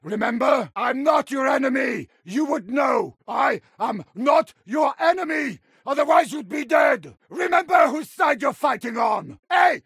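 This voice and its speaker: An actor playing a hot-headed German medieval warrior in a combat video game, with a German accent and strained, bombastic, barked delivery. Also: male voice, extremely high pitch, animated, a little slow, hardly any pauses, no room sound, clean studio sound, exaggerated voice. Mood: indignant. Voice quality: raspy, strained shouting. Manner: bombastic, exaggerated delivery of short barked phrases. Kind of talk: shouted battle voice lines for a medieval combat game.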